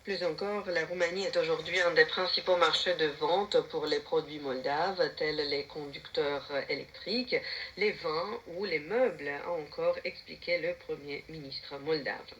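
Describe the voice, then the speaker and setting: A man's voice: fairly high pitch, measured pace, a bit bright, lo-fi sound. A man with a Romanian accent reading a news commentary with uneven emphasis and brief hesitations. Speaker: radio commentator. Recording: shortwave radio with hiss.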